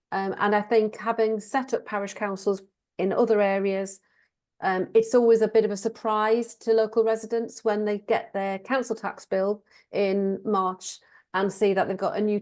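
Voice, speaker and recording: A woman with a croaky voice, a council officer politely explaining procedures at a committee meeting. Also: medium pitch, darkish tone.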